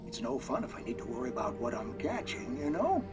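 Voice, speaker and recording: An actor playing a genial, easygoing older small-town doctor being interviewed on radio in a video game. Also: male voice, mid-range voice, sing-song, fast talker, natural voice. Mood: genial, folksy. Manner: folksy, chuckling, easygoing. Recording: clear music behind the voice.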